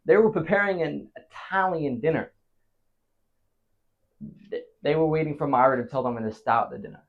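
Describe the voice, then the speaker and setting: A man acts out a rattled husband telling his tale in a comic stage play. His voice sits fairly high and swings widely in pitch. He stammers, stops for dramatic pauses and lurches between big emotions. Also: lo-fi sound, close to an everyday voice. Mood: nervous, hesitant. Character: flustered married man frantically recounting a disastrous anniversary night. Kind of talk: theatrical comic stage monologue.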